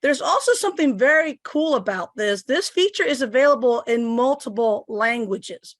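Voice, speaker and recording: A woman's voice: a little high, expressive, normal speed, no echo, clean studio sound. A middle-aged woman from Puerto Rico giving a step-by-step tech demo at her computer, explaining each click as she goes. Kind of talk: instructional screen demo. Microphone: computer microphone.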